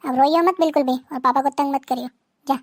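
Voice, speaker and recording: An actress playing a gravely ill young mother, soothing her crying daughter in a soft, reassuring way. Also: sits high, steady tone, fast, no echo, lightly acted. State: weak, ill. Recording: faint music behind.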